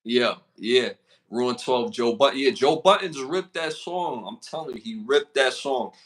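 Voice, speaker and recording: A man's voice: mid-range voice, lively intonation, a little slow, fairly bright tone. A man with a Brooklyn accent co-hosting a casual podcast, slangy and repeating himself as he reads out list questions. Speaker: podcast co-host.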